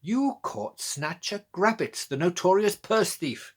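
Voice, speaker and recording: A grand, important lady chief inspector from a children's storybook, played by a man. The voice booms out proudly at a raised pitch, swooping and pompous. Each phrase is delivered with deliberate weight. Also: expressive, heavily acted. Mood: proud, booming.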